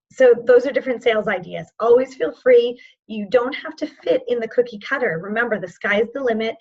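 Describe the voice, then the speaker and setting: A woman training sales representatives in an online session, informal and encouraging, with a voice that is going hoarse. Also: a little high, lo-fi sound. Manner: informal and encouraging, checks in with "okay?". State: losing her voice, hoarse.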